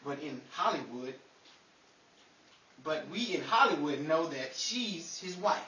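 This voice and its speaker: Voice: mid-range voice, animated, takes it slowly, sharp tone. A performer playing a chatty 1950s Hollywood radio show character in an old-time radio style. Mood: gossipy. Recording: roomy echo, distant mic, faint noise.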